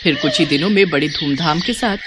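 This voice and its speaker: A woman's voice: sits low, a little fast, background noise. A woman reading scripted narration as the narrator of a story.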